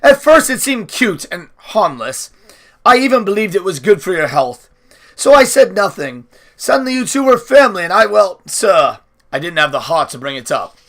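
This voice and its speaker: A man's voice: high voice, sing-song, normal speed, fairly bright tone, pitched above the performer's own voice, full character voice. A man voicing a careful, deferential manservant in a storybook, speaking respectfully and apologetically to his lord. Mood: apologetic.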